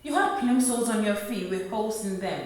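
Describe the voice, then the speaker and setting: A woman's voice: medium pitch, moderate pace, darkish tone, natural voice. A woman playing a worried, poor wife and mother in a radio play, earnest and reproachful. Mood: tender, pained. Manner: earnest, reproachful, repeating her husband's name. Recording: very echoey room.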